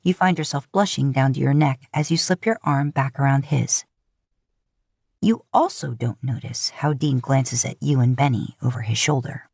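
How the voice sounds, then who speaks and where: A woman's voice: extremely low pitch, normal speed, vocal fry, a little mellow, husky, no echo, clean studio sound. A woman reading scripted fiction as an audiobook narrator.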